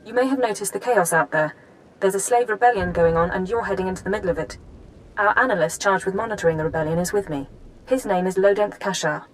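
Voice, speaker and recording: A businesslike female spy handler played by a woman with a mid-pitched voice. She briefs firmly and without fuss as in a video game, over a faint rumble. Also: fast talker, close to an everyday voice, poor audio. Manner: crisp, businesslike, firm. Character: brisk, no-nonsense female intelligence handler.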